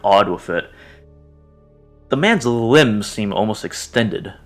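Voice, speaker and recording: A man acts as game master, narrating a tabletop game in a fairly low voice. Faint music plays behind him. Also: expressive, slow, fairly bright tone.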